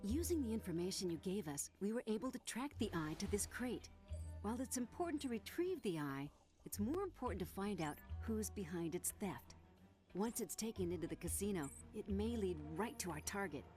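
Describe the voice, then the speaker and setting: A female spy briefer for a game, played by an actress, crisp and businesslike with a flirty edge. Her pitch is average and faint music plays underneath. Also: big pitch swings, fairly quick, mellow, close to an everyday voice. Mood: businesslike, coy. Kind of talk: video game cutscene briefing.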